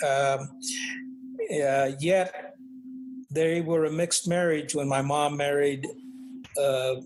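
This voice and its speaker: An older man speaks as an interview guest, his voice a little higher than usual for a man. He talks reflectively and unhurriedly, with fillers and frequent "okay?" check-ins. A faint noise sits under the recording. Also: little pitch movement, takes it slowly. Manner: reflective, many fillers and tag questions. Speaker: guest, author. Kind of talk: conversational interview.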